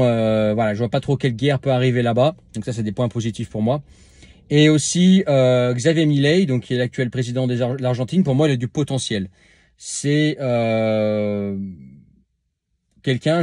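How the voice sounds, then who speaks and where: A man's voice: medium pitch, warm tone, dry recording, studio quality. A man talking casually to camera as a vlogger, answering a viewer's comment with many filler sounds and restarts, speaking to the viewer informally.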